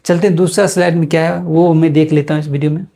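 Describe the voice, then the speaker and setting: Mellow Indian man teaching informally. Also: on the high side, level tone, quick pace, gravelly. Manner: repeats and rephrases points for students. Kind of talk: informal explanatory slide lesson.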